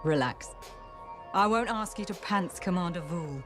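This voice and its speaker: An actress playing a breezy, shady shopkeeper in a video game, with a sly, dry, teasing manner. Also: female voice, fairly low pitch, lively intonation, measured pace. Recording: music behind the voice.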